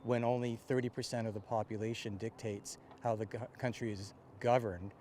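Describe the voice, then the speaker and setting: A man's voice: on the low side, flat delivery, normal speed, warm tone. A man giving an earnest, unscripted opinion in a street interview. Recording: faint outdoor street noise.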